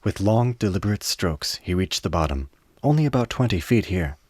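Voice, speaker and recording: A man narrating a radio play in a measured storytelling manner. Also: deep voice, lively intonation, a little mellow, dry recording.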